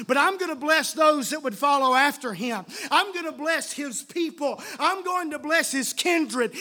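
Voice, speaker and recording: A man preaching a fervent evangelical sermon, emphatic and repetitive, punctuating his points with amens and building toward shouted peaks. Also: extremely high pitch.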